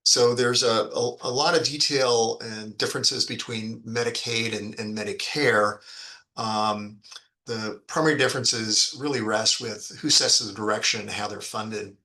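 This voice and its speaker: A man giving an explanatory business presentation as a fund executive, speaking haltingly with frequent ums and repeated words. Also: on the low side, fairly even intonation, sharp tone, low-quality recording. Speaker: fund executive presenting on an investor earnings call.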